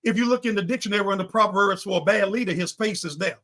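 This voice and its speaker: A man with a high, forceful voice talks like a pastor on a talk show. He builds his points in repeated, preacherly phrases and speaks with conviction. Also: fast. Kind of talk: impassioned political and faith talk.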